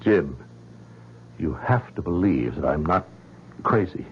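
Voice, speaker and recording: An actor playing a troubled, obsessive scientist in a 1970s radio drama, speaking in a halting, insistent and defensive way. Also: male voice, deep voice, lively intonation, a little hiss or hum. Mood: grave. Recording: muffled vintage radio broadcast.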